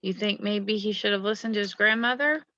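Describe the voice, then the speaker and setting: A woman's voice: medium pitch, a little fast, pushed and bright, highs cut off, a little hiss or hum. A woman leading a read-aloud lesson like a teacher, explaining the story and prompting young listeners with questions. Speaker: teacher reading a picture book aloud.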